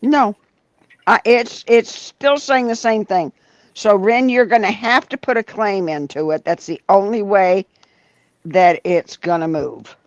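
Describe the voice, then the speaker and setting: A woman joining a casual livestream chat, giving friendly, quick back-and-forth replies. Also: mid-range voice, no echo, lo-fi sound.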